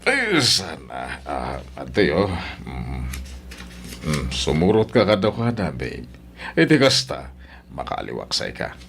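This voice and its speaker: A man's voice: rumbling low, wildly swooping pitch, takes it slowly, vocal fry, fairly bright tone, slight background noise, exaggerated voice. A man with an Ilocano Filipino accent acting a volatile husband in an audio drama. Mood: indulgent, placating. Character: husband, doting on the surface, violent-tempered businessman.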